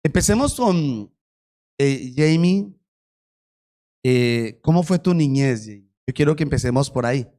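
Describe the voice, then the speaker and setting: A pastor leads a testimony interview from the church stage. His man's voice sits a little high, and he talks in a playful, joking way with the congregation. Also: a little mellow.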